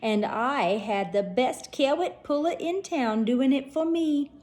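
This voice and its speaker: A woman voicing a gullible male cartoon gardener, speaking high and fussy with lisped r's. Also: expressive, a little slow, darkish tone.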